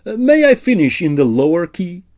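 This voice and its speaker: A man playing a suave, smug opera baritone who teases his rival, in a comic period audio drama. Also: big pitch swings, normal speed, a little mellow, faint music behind. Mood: wry, teasing.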